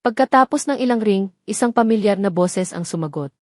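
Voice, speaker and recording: A woman's voice: mid-range voice, lively intonation, a little fast, no room sound, studio quality. A woman with a Filipino accent reading a scripted dramatic story as its storyteller.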